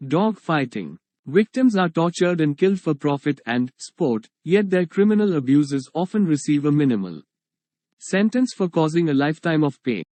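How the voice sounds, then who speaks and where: A man's voice: fairly high pitch, big pitch swings, normal speed, dark tone, dry recording. A man reading a prepared text aloud. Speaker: reader.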